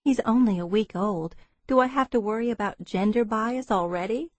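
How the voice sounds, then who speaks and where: Narrator as a crisp, persistent businesslike woman. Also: fairly high pitch, normal speed, no echo, natural voice. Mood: defensive. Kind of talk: audiobook character dialogue.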